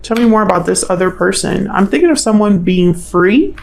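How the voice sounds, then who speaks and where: A woman's voice: medium pitch, expressive, brisk, vocal fry, a little mellow. A woman giving an informal tarot reading as host, musing and thinking aloud in a tentative way. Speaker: tarot reader, channel host.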